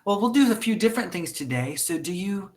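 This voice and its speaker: English tutor, male, upper-middle pitch with gravelly fry, encouraging, casual. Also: expressive, brisk. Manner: encouraging, frequent backchannels. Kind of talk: casual conversation lesson.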